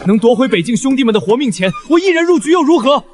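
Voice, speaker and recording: A hot-headed general from a costume cartoon drama, voiced by a man. He talks fast in a high, swooping voice, blunt, brash and full of indignation. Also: big pitch swings, heavily acted. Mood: indignant. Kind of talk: dubbed animated historical drama.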